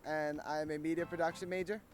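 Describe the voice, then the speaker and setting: Young man, nineteen, college student, blunt, firm, slightly high voice. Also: flat delivery, quick pace. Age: young adult. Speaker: student interviewee. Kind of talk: street interview answers. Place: outdoors on a college campus. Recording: faint music underneath.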